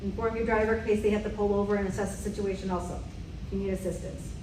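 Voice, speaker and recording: A woman's voice: mid-range voice, flat delivery, fast talker, background noise. Woman leading a safety quiz, echoey. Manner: direct, quizzing the room. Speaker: safety trainer. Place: meeting room. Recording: echoey room from far off.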